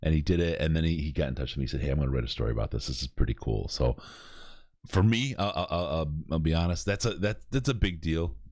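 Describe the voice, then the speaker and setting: A man's voice: very deep voice, fast talker, darkish tone, no echo, studio quality. A middle-aged man from Michigan with a Michigan accent, hosting a podcast in casual, rambling chat with lots of restarts. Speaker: podcast host. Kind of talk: casual charity stream chat.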